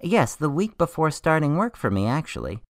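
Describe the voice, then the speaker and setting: A man's voice: on the high side, sing-song, normal speed, dry recording, studio quality. An actor playing an earnest, anxious male medical examiner in a radio drama, explaining things expressively. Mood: worried, explaining.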